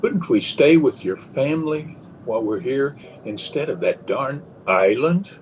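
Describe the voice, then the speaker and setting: A man's voice: medium pitch, lively intonation, a little slow, a little hiss or hum, close to an everyday voice, rough recording. A man playing a nervous, guilt-ridden husband, tremulous and brooding, in 1930s radio melodrama style. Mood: anguished, pleading. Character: nervous, guilt-ridden husband who killed his wife.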